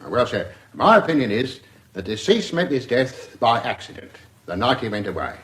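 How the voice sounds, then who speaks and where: A man's voice: medium pitch, very animated, moderate pace, a bit bright. A man playing a methodical, deferential English police inspector giving careful, factual evidence in a radio drama. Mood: dutiful, factual.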